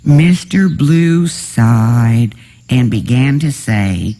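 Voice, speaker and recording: A man narrating a children's bedtime story from a script in an expressive storybook style. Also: medium pitch, drawn-out words.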